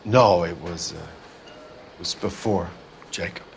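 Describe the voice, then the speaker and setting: Gruff middle-aged 1940s bar owner. Low-pitched, world-weary, blunt, slangy, crime game. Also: male voice, slow pace, slight background noise, exaggerated voice. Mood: wistful, guarded. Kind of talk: video game voice acting.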